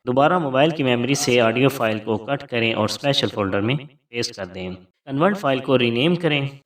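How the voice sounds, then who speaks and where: A man's voice: medium pitch, distant mic. A man narrating a scripted tutorial as host, giving formal step-by-step instructions.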